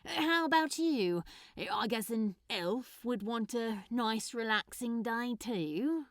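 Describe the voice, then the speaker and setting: A woman voicing a tired, hesitant, dryly grumpy but soft-hearted man in a storybook reading. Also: a little high, takes it slowly, no echo. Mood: weary, awkward. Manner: hesitant, mumbling, dry.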